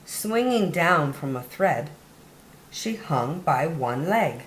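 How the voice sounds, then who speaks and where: A woman with a slightly low voice reads aloud for young children as a story time host. She takes her time and lands key words with dramatic emphasis, her pitch rising and falling widely. The room around her sounds echoey. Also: animated, slow, slight background noise. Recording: roomy, echoey indoor sound.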